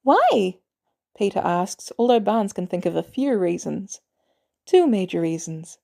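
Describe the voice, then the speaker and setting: A woman's voice: lowish voice, moderate pace, gravelly, warm tone. A woman reading fiction aloud as a narrator, in scripted story narration. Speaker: audiobook-style fiction reader.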